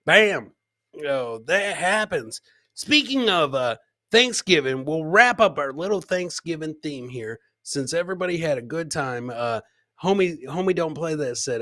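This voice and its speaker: A middle-aged man hosts a live comedy podcast in casual, slangy banter. His voice sits a little high with a sharp, punchy edge, captured close and dry on a podcast mic. He talks like a buddy, doubling back on phrases to drive them home. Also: no echo. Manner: chummy, slangy, repeats phrases for emphasis. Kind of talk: casual livestream banter reacting to clips and viewer comments. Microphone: close podcast mic on a boom, with headset.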